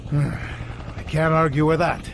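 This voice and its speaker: An actor playing a cocky, wry secret agent in an action video game, laconic and swaggering with a gravelly voice. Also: male voice, fairly high pitch, fairly even intonation, slow pace, a little hiss or hum. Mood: wry, sober.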